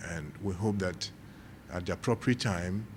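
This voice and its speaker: Slow, low-voiced Ghanaian male official. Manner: measured, halting in short phrases. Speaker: civil aviation official. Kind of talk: official spoken statement. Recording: faint background noise.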